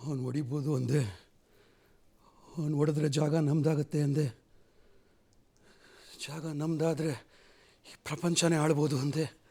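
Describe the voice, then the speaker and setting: A man playing an angry, commanding film villain in a forceful, scolding dialogue. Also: fairly high pitch, steady tone, unhurried, pauses often. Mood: stern, bitter. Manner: forceful, scolding rhetorical delivery.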